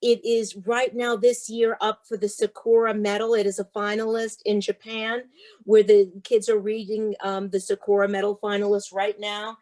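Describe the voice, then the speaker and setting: A woman's voice: mid-range voice, level tone, moderate pace, a little mellow, poor audio. A woman speaking as a guest author, with an emphatic, rhythmic delivery suited to sharing and reading storybooks. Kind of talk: interview talk and expressive storybook read-aloud.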